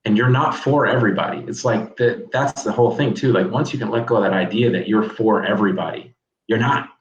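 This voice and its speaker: Frank male guest coach, lower voice, echoey, lo-fi video call. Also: a little fast. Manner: frank, conversational, repeats words as he talks. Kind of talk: casual interview chat.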